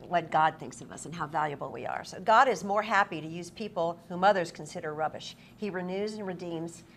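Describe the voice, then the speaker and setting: A woman with a fairly low voice acts as interviewer. She is effusive and affirming as she talks and reads passages aloud. The live event recording carries clear ambient noise. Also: brisk, fairly bright tone. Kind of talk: on-stage interview with read-aloud book passages. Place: busy convention event room.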